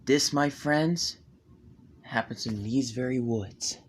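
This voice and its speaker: Slow theatrical man spinning a spooky tale. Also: mid-range voice, slight background noise. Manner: theatrical, ominous, drawn-out emphasis. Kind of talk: improvised amateur campfire horror storytelling.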